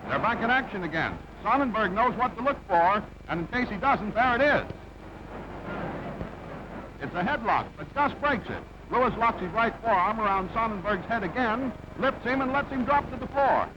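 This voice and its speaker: Male sports commentator, high pitch, punchy vintage newsreel play-by-play, muffled old film soundtrack, clear background noise. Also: expressive, normal speed. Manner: punchy present-tense play-by-play.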